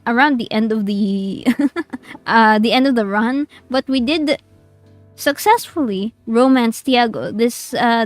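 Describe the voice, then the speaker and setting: A woman streamer with a high, squeaky voice chats and giggles with her viewers while she plays. She rambles loosely from one thought to the next. The sound is close and dry, with faint game music underneath. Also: no room sound. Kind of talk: casual game-stream chatter.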